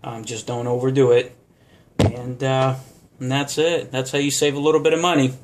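A man's voice: medium pitch, flat delivery, moderate pace, slight background noise. A man giving a casual how-to demonstration at a home bar counter, talking unhurriedly with many fillers. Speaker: vlogger.

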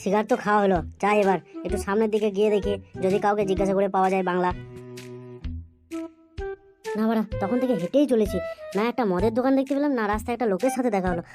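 A woman's voice: mid-range voice, steady tone, racing, music in the background, natural voice. A woman voicing a hapless, wheedling, crude young male boozer in a comedy cartoon. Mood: grumbling, crude. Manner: pleading, grumbling, repetitive. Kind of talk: comedy cartoon dialogue and monologue.

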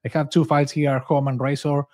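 Higher-pitched man presenting a coding tutorial. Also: level tone, a little fast, darkish tone. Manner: enthusiastic, informal. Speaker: software developer advocate, presenter. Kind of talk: screen-share coding tutorial, casual narration. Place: small home office indoors.

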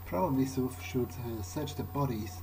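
A man's voice: medium pitch, normal speed, darkish tone, slight background noise, low-quality recording. A man doing in-character roleplay commentary over a video game, thinking aloud and often repeating words hesitantly. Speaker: gaming video player.

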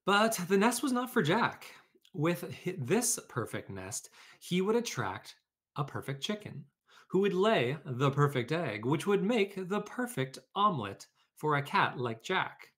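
A man's voice: sits high, sing-song, unhurried, fairly bright tone. A man hosting a read-aloud for children, talking informally and explaining things, or reading a storybook. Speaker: educator, read-aloud host.

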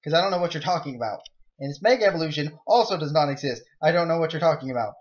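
A young man talks through his game in a loose, rambling way, cracking jokes and breaking into excited outbursts. His voice sits a little high for a man. He is recorded on a dull, lo-fi home mic. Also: very fast. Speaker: let's play gamer. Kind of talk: casual game commentary.

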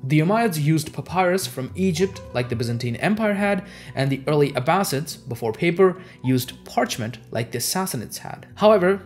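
A man's voice: mid-range voice, darkish tone. Young man narrating history over music. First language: Urdu. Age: young adult. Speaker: history channel host. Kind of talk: scripted educational narration. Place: small home office, indoors. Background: background music.

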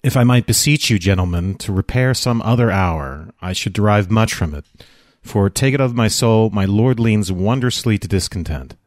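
Guy reading grave formal shakespeare character, measured verse, lowish voice, very dry sound. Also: no room sound, close to an everyday voice. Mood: deferential. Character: grave, measured male servant or stern senator. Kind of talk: unrehearsed Shakespeare play reading.

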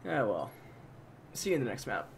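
Dude narrating his shooter game live, kinda hoarse from yelling, gunfire going off loud in the background. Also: mid-range voice, very animated, normal speed, slight background noise. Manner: chatty, yelling at the game in bursts. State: voice getting strained from yelling. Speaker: gameplay commentator. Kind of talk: live gameplay commentary. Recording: home mic under loud game gunfire.

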